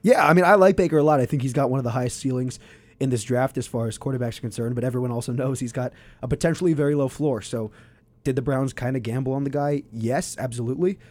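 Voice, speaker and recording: A man with a hoarse voice giving quick, assertive analysis in a casual sports debate. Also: medium pitch, darkish tone. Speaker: sports podcast co-host.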